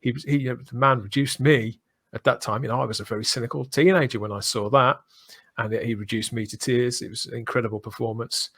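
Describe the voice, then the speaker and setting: A man's voice: mid-range voice, fairly even intonation, fast talker, a bit bright, husky. A middle-aged man hosting a podcast in a casual, enthusiastic fan discussion, speaking effusively and emphatically in long, discursive turns. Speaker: podcast host.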